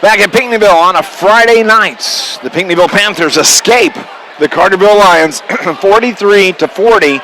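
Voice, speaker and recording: An American man works as a radio sports announcer, recapping a game and its scores. His voice sits a little higher than most men's. Faint venue noise runs behind him. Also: fairly bright tone, low-quality recording. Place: at a high school game venue.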